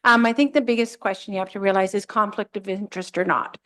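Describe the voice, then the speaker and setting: A woman's voice: mid-range voice, steady tone, fast, fairly bright tone. A woman who manages municipal enforcement, explaining her role plainly at a council meeting.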